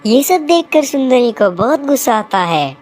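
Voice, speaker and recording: A woman's voice: high voice, big pitch swings, normal speed, a little mellow, slight background noise. A woman reading scripted narration for a children's moral story as the storyteller.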